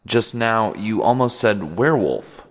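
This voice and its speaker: A man's voice: fairly low pitch, very animated, unhurried, big-room sound, full character voice. A man playing an awkward, apologetic podcast interviewer, stammering politely through an improvised comedy radio play. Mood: apologetic, uneasy. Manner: hesitant, stammering, politely probing. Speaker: host interviewer.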